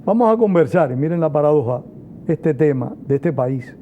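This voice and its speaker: A man hosting a TV interview program in Spanish, speaking in a measured, formal way. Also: on the high side, expressive, dark tone. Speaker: TV interview host. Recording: tinny, phone-like sound with faint noise.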